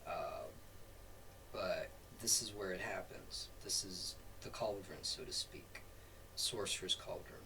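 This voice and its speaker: A man's voice: low voice, slow, whispered. A middle-aged man giving an informal, rambling off-the-cuff lesson as a spiritual teacher, often checking in with "right?". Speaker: spiritual teacher demonstrating a voice practice. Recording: distant mic, clearly noisy background.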